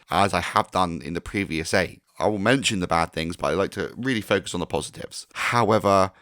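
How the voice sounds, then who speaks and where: Low, hoarse male reviewer, blunt and opinionated, dry close sound. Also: fairly bright tone, no echo. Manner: frank and critical, mild swearing. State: hoarse, recovering from a sore throat.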